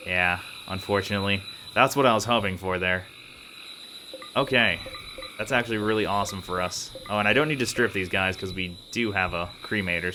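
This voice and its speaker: A man's voice: on the low side, bright, forceful tone, a little hiss or hum. A man rambling casually as he comments on the video game he is playing. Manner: chatty, rambling, thinks aloud. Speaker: let's play gamer.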